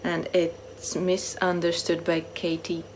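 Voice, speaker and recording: A woman book vlogger chatting casually to camera in short, halting phrases that trail off softly. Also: on the low side, monotone, slight background noise, poor audio. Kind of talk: casual chat to camera, answering a book tag.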